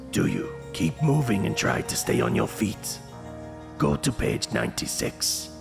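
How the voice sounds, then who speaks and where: A very gravelly, deep-voiced narrator of a dark fantasy gamebook, played by a man. He speaks with theatrical menace and deliberate weight, over clear background music. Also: exaggerated voice. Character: ominous gamebook narrator addressing the reader as adventurer.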